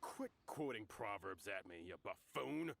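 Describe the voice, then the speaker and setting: A man's voice: fairly low pitch, animated, measured pace, vocal fry, fairly bright tone, a little hiss or hum, heavily acted. A man voicing a sneering, bullying thug in a video game, mocking and laughing at his target. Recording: game audio with faint ambience.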